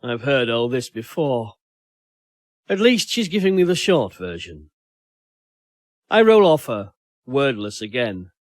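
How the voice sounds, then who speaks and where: A man's voice: medium pitch, dry recording, studio quality. A man narrating first-person fiction as a dramatic audiobook reading.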